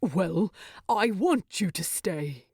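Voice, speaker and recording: A woman voicing a proud, gruff male dwarf king with a deep voice, speaking formally and haltingly with heavy feeling, as in an audiobook. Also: lively intonation, a little mellow, dry recording, clean studio sound, exaggerated voice. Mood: gruff, pleading.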